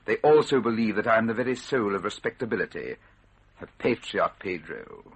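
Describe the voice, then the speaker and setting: Husky, smug criminal mastermind. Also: male voice, fairly low pitch, expressive, fairly quick. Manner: smug, pompous, commanding. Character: smooth-talking villainous mastermind posing as a respectable scientist. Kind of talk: old-time radio drama acting.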